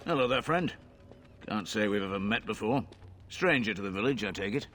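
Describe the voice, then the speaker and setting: An actor playing a gruff, cynical, self-serving tavern keeper in a fantasy video game, talking in a brusque, self-justifying way. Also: male voice, lowish voice, expressive, brisk, fairly bright tone, faint music behind. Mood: sly friendliness.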